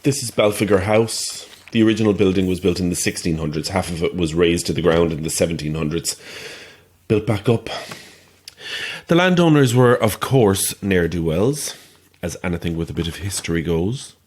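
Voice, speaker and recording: Casting: boastful small-time Irish thespian, low voice with big swoops, chatty and theatrical, audio drama. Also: male voice, expressive, normal speed, a little mellow, husky. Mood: storytelling. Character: chatty, self-important small-time male actor, pleased with himself. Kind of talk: naturalistic audio drama acting.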